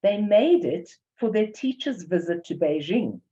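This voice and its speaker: A woman's voice: mid-range voice, lively intonation, a little slow, dark tone. An older woman with a South African English accent giving an academic lecture, reading carefully from a prepared text and reading poems aloud. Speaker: academic presenter. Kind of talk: lecture presentation with poetry reading. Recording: dull lo-fi recording.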